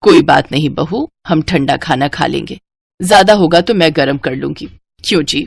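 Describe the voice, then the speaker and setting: A woman voicing a gentle, accommodating older mother-in-law in a moral story, mild and yielding. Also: deep voice, normal speed, creaky, slightly rough, no room sound, studio quality, voice pushed down, exaggerated voice. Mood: mild concern. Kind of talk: acted dialogue in a moral story.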